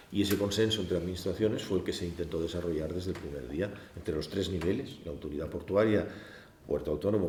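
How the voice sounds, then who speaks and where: A man in his sixties with a Barcelona Spanish accent, recounting his memories at a panel in a measured, explanatory way, often ending phrases with a questioning tag. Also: sits low, dark tone. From Barcelona, Spain. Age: 60s. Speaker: former port project manager giving testimony. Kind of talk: oral history recollection at a panel discussion. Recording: roomy echo, faint background noise.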